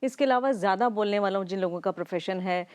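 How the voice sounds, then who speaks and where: Woman doctor, mid-pitched, patient and explanatory. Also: darkish tone, clean tone. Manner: measured, explanatory, frequent "uh" pauses. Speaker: Ayurveda doctor. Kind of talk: explanatory interview answers.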